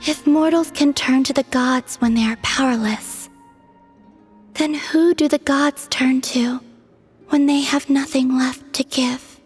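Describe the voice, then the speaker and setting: A woman voicing a serene, melancholy young moon goddess, breathy and whispery, speaking softly and poetically in a video game dub. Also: sits high, steady tone, soft background music. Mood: wistful. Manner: soft, slow and poetic.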